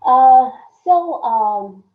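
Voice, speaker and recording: A woman presents an online workshop in a fairly high, strained and hoarse voice. She pauses often on fillers and keeps clearing her throat. Her video call sound is narrow and phone-like, with a roomy echo. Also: lively intonation. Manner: halting, full of fillers and frequent throat clearing. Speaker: workshop speaker. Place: indoor room at home. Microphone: laptop webcam on a video call.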